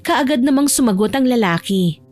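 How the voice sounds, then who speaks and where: A woman with a slightly high voice narrates a romance audiobook. She delivers it as scripted, dramatic storytelling. Soft music plays behind her. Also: animated, moderate pace. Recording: background music under the voice.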